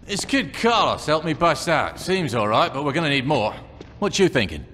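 An actor playing a tough, dry-humoured gang boss in a video game cutscene, speaking bluntly with plenty of swearing. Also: male voice, on the high side, sing-song, normal speed, pushed and bright, in a large hall, a little hiss or hum. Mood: matter-of-fact.

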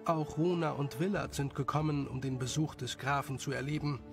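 A man reading scripted story narration as the narrator, with a creaky voice. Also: medium pitch, fairly even intonation, moderate pace, over music.

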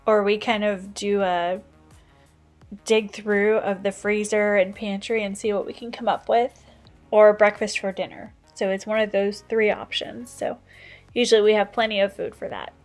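A woman's voice: mid-range voice, steady tone, moderate pace, faint music behind. A woman in her thirties, a stay-at-home mom, giving a casual, practical voice-over as she cooks and shares kitchen tips. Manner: chatty, practical, explains tips as she goes.

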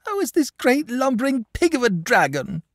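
High, tearful little boy. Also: huge pitch swings, moderate pace, a bit bright, no echo, studio quality, full character voice. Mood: sobbing, indignant. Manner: piteous, sobbing, whining. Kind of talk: storybook character voice.

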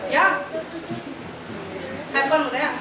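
A woman's voice: high-pitched, slow pace. A woman playing general manager in a live wrestling promo, taunting and sarcastic. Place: small indoor wrestling venue with audience. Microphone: far camera. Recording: very echoey room, far camera, loud noise.

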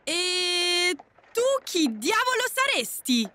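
Audio drama young woman, played by an actress, defiant and spirited, very high voice, unhurried delivery. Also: very animated, pushed and bright, a little hiss or hum, heavily acted. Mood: indignant. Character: spirited, defiant young daughter of a strict father.

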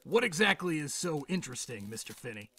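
Gruff raspy cop guy acting, not buying it, sharp and animated, radio drama. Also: fairly high pitch, fairly quick, exaggerated voice. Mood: skeptical, impatient. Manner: gruff, dismissive. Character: skeptical, tough male police officer.

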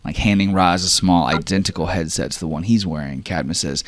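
A man acting as game master, narrating a tabletop roleplay session in a measured, descriptive way. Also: low-pitched, no echo.

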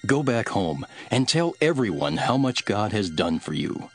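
A man playing a calm, authoritative religious teacher in a Bible audio drama, measured and earnest. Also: on the low side, faint music behind. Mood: calm authority.

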